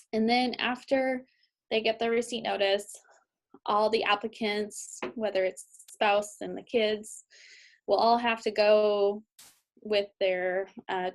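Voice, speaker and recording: A woman with an American accent presenting training slides as a lawyer, instructional and informal, with frequent hesitant pauses and ums. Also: mid-range voice. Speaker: immigration lawyer giving training.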